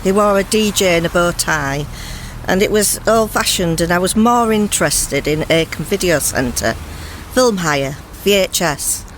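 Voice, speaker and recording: A woman's voice: fairly low pitch, lively intonation, unhurried, a little hiss or hum, poor audio. A woman casually reminiscing about the past.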